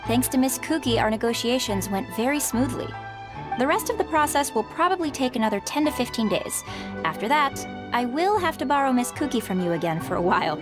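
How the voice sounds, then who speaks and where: A woman's voice: a little high, expressive, a little fast, over music, close to an everyday voice. An actress playing a courteous, businesslike female merchant in a video game, speaking formally and with composure. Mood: polite, businesslike. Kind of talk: English video game dub acting.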